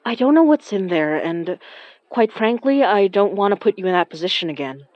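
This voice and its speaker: An actress playing a gentle, anxious young woman who senses haunted objects, speaking haltingly in audio fiction. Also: medium pitch, lively intonation, dry recording, muffled recording, close to an everyday voice. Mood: quietly resolute. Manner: hesitant, halting, stammering. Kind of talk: audio fiction acting with first-person narration.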